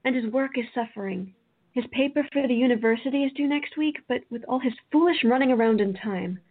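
Woman playing a fretful sister, husky, tinny recording. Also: fairly high pitch, a little fast. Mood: worried. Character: Lana, worried exasperated sister. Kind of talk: amateur radio play acting. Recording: tinny phone-like sound.

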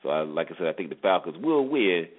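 A man's voice: low voice, big pitch swings, quick pace. A man hosting a solo sports talk show, giving conversational commentary with rhetorical questions and repeated points for emphasis. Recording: tinny phone-line internet radio audio.

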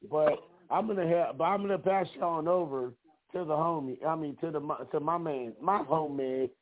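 A raspy-voiced man hosting a podcast, talking in a laid-back, slangy way. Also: on the high side, moderate pace. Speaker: podcast host. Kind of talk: casual chat. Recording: tinny phone line.

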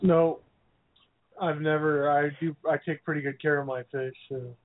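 A man co-hosting a radio chat gives short, plain answers. His voice sits a little high. It comes through dry and phone-like. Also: fairly even intonation, moderate pace, no room sound. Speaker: co-host.

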